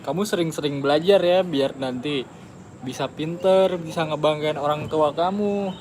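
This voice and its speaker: A man's voice: a little high, normal speed, a little mellow, slight background noise. A young man, chatting casually as a co-host on a live stream. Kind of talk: casual chat. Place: outdoors at a busy tennis court.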